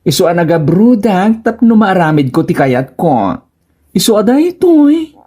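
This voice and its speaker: A woman acting a slow, pleading Ilocano daughter. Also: medium pitch, big pitch swings, mellow. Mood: pleading, tearful. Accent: Ilocano Filipino. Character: Julius, tearful adult transgender daughter returning home. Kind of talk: Ilocano comedy radio drama acting.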